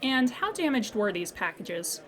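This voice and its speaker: A woman playing a patient, courteous insurance customer service agent in a scripted audio comedy, speaking formally and with measured politeness. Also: medium pitch, very animated, a bit bright. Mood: polite, businesslike. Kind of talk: scripted comedy audio drama phone call.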